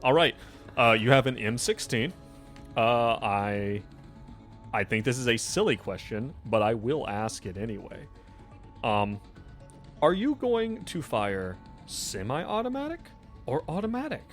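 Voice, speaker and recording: A man's voice: mid-range voice, sing-song, measured pace. A man narrating a tabletop role-playing game as game master, describing things informally and addressing the player directly. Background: music.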